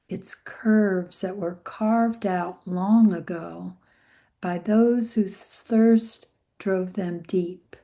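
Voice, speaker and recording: A woman leading a guided meditation in a gentle, soothing way, with soft phrase endings that trail into breath. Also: lowish voice. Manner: gentle, soothing, unhurried with long pauses.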